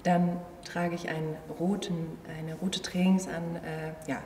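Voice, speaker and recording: A woman's voice: fairly low pitch, flat delivery, unhurried, mellow. A woman of thirty-one introduces herself casually in an interview. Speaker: choreographer interviewee. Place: large empty theatre venue. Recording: echoey hall, distant mic, faint noise.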